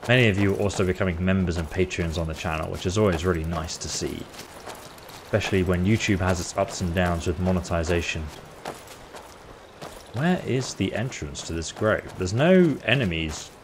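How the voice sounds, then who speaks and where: A man's voice: low-pitched, normal speed, noisy background. A man giving casual, playful game playthrough commentary as a video host, chatting and reacting aloud as he goes.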